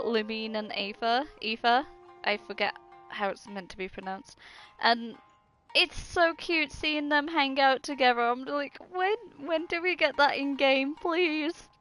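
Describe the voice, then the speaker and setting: A woman's voice: sits high, fairly bright tone. A woman game streamer chatting casually over gameplay in a giggly, rambling, hesitant way.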